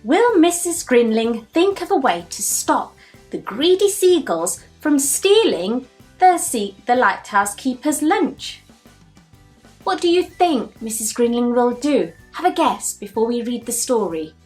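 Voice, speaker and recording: A woman reads a picture book aloud for young children. Her voice sits fairly high and she takes her time, with a deliberate teacherly delivery. Music plays along with the narration. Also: very animated, darkish tone, husky. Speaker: children's story-time host and reader. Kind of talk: read-aloud storybook narration with questions to young listeners.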